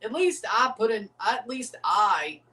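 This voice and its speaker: A man hosting a livestream watchalong, chatting casually and excitably, with a voice gone a little scratchy from overuse. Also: sits high, unhurried, far from the mic. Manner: rambling, excitable, repeats himself. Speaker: streamer hosting a match watchalong.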